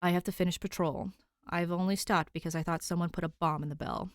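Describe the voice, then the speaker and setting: A woman's voice: fairly low pitch, fairly even intonation, fast talker, no room sound, natural voice. A woman voicing an exasperated, protective young male masked vigilante, weary and dry, in an audiobook story. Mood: dry, stern. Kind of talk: audiobook character dialogue.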